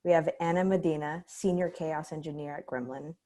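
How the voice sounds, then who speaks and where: A woman's voice: low voice, steady tone, brisk, dark tone. A woman moderating a panel, introducing the speakers upbeat and friendly over a video call. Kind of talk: hosting a panel.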